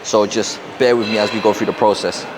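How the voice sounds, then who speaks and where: A young man with a London accent, talking fast and rambling to camera in a casual motivational vlog, wearing a clip-on mic in a gym. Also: on the low side, steady tone, noisy background. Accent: London English. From South London, England. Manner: fast, rambling, repeats himself. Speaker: fitness and mindset coach. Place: busy gym.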